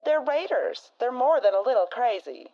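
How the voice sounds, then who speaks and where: Woman as a folksy, drawling frontier co-host, slightly high voice, muffled lo-fi sound. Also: expressive, normal speed, natural voice. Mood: blunt folksy. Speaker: radio co-host.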